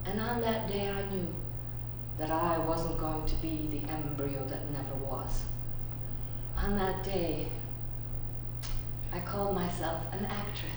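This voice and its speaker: A woman from Czechoslovakia performs a solo monologue on a live stage, slow and expressive with dramatic pauses. Her voice comes from far off through a very echoey room, with steady noise behind. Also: sits low.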